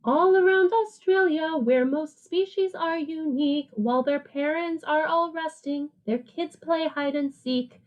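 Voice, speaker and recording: A woman teacher reading a picture book aloud to children in an animated, sing-song way, with simple, casual explanations. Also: sits high, a little slow, few pauses, narrow, tinny audio.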